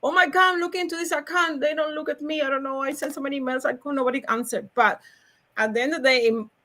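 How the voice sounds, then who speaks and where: A woman's voice: high-pitched, fairly even intonation, fast talker, pushed and bright. A woman from Cuba with a Cuban Spanish accent, a logistics salesperson talking energetically as a guest and laughing often. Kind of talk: casual interview talk.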